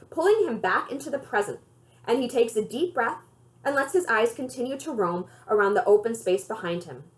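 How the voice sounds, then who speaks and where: A woman, a librarian, giving an expressive read-aloud narration of a children's novel. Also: a little high.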